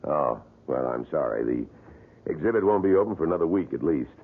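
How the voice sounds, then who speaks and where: An actor playing a polite, evasive tour guide at a wax museum of crimes. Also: male voice, very deep voice, expressive, moderate pace, vocal fry, slightly rough, full character voice. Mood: guarded. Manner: showman patter, polite. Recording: tinny 1970s radio broadcast.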